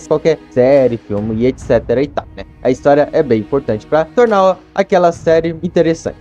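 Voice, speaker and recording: Higher-pitched Brazilian man over music. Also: a little mellow. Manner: colloquial, peppered with tag questions. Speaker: YouTube commentator. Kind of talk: casual video essay commentary on animation. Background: background music.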